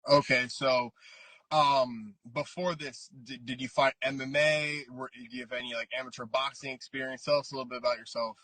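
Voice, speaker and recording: A man's voice: mid-range voice, fairly even intonation, brisk, pushed and bright, no room sound, rough recording. A man interviewing a fighter, asking casual questions with many fillers and a polite "yes, sir".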